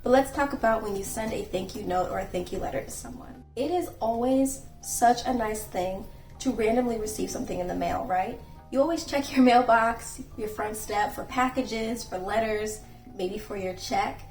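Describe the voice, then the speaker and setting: A young woman talks through etiquette tips in an earnest, gently instructive way, her voice sitting a little high. She sounds set back from the mic in an echoey room, with faint music underneath. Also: moderate pace, recorded from a distance. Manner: earnest and gently instructive, acts out sample phone calls. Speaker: channel host and etiquette creator. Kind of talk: casual etiquette advice vlog.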